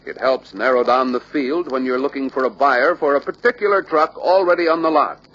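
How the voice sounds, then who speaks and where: A man reading scripted instructional narration for a sales training film, deliberate and emphatic. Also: mid-range voice, a bit bright, a little hiss or hum. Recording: dull lo-fi old training film soundtrack.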